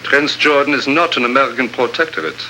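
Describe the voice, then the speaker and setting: An actor playing a haughty, menacing foreign agitator with formal, pompous phrasing in a 1950s radio adventure. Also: male voice, medium pitch, fairly quick, pushed and bright. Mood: cold, menacing. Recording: noisy old radio drama recording.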